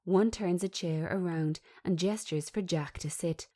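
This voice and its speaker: A woman reading a script aloud as narrator, giving the stage directions in a measured, descriptive manner. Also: on the low side, dark tone.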